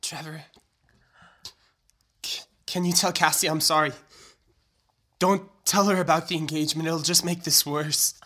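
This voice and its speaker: Slow, breathy, doomed young man, acted by a man. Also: on the high side, flat delivery, bright, forceful tone. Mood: hushed regret. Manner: halting, earnest, deliberate. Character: doomed young man, loving, resolute, forgiving best friend. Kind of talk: emotional audio drama acting.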